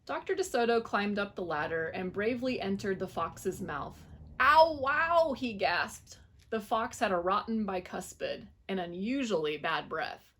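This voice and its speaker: A woman reads a children's picture book aloud in a clear, medium-pitched voice. She tells the story in an expressive, animated way for young listeners. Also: normal speed.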